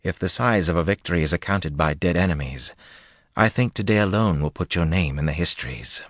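A man's voice: deep voice, a little fast, no echo, clean studio sound. A man voicing a haughty noblewoman who speaks with cool, barely polite condescension in an audiobook. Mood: cool disdain.

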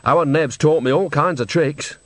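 A man's voice: a little high, moderate pace, fairly bright tone, dry recording, voice pushed up, lightly acted. A man reading a storybook, voicing a kindly, cheeky old witch woman who talks in a folksy, wry way. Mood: cheeky, eager.

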